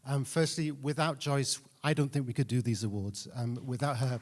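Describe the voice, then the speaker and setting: A man's voice: medium pitch, steady tone, moderate pace, some chatter behind. A man giving an informal, somewhat hesitant tribute speech as an editor at an awards ceremony. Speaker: newspaper arts editor.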